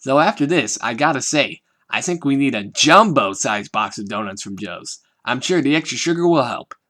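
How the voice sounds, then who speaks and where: A man's voice: mid-range voice, animated, normal speed, sharp tone. A man voicing an endlessly cheerful, hyperactive teenage girl in a fan-made audio reading, with a raised, bouncy voice.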